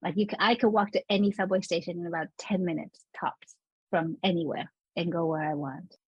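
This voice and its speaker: A woman scholar from Los Angeles explaining urban planning in a casual interview, animated and laughing now and then. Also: lowish voice, a little fast, slightly rough, dry recording, muffled recording.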